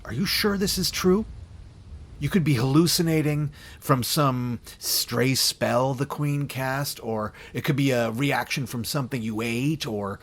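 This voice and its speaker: A man playing a steadfast, grave goblin warrior in a fantasy audio drama, speaking in measured formal phrases. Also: mid-range voice, close to an everyday voice. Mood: incredulous. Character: loyal male goblin warrior, gruff steadfast comrade.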